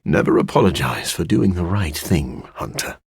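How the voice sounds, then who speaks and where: A man voicing a brooding living vampire scientist in a video game, gravelly and husky, formal and measured in wording, with dry deadpan wit. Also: sits low, no echo, heavily acted. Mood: solemn. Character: brooding living vampire scientist, dour yet dryly witty. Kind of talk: video game character voice lines.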